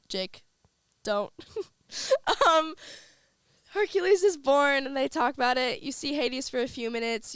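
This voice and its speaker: A young woman hosts her radio show while battling a cold, talking in a loose, chatty way. Her voice sits fairly high and sounds smooth and clear. The studio sound is dry and close to the mic. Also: no room sound. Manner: chatty, uses filler words like "um" and "like". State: has a cold. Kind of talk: casual radio talk. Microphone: studio microphone.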